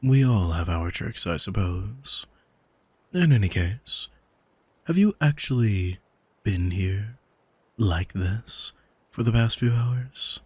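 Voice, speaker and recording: Man playing a languid, husky, arrogant male demon. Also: on the low side, expressive, slow, pauses often, a little mellow, no room sound, exaggerated voice. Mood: smug, coaxing. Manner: languid, teasing, commanding, condescending. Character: arrogant male demon, seductive, condescending, coolly manipulative captor. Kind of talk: ASMR roleplay audio drama, intimate address to listener. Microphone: close microphone, dry.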